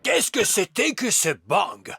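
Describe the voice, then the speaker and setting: A man's voice: high voice, very animated, vocal fry, heavily acted. An actor voicing a wise old male elf schoolteacher in a children's cartoon dub, instructive, strict but kindly. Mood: suspicious. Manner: measured, instructive. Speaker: teacher.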